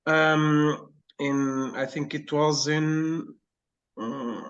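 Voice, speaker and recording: A man from Portugal with a Portuguese accent, a teacher introducing himself on a video call, halting and searching for words. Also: a little high, fairly even intonation, a bit bright, lo-fi sound.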